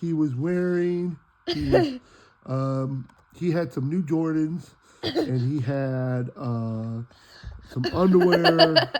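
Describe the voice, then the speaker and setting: A man's voice: on the high side, a little hiss or hum, heavily acted. A man voicing a sleepy, muddled wombat who stumbles hesitantly through stories in an improvised puppet show. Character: drowsy, bumbling wombat puppet, a muddled storyteller.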